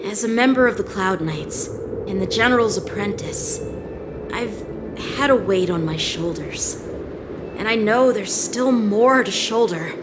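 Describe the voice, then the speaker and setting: A woman's voice: mid-range voice, expressive, a little slow, noisy background, lightly acted. A woman voicing an earnest, proud teenage boy swordsman in a video game cutscene. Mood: determined.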